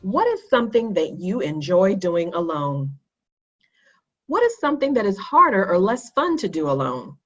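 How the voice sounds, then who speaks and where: A woman reading a children's story aloud, addressing young listeners in clear, instructive phrasing. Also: medium pitch, dull audio. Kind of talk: expressive read-aloud for children.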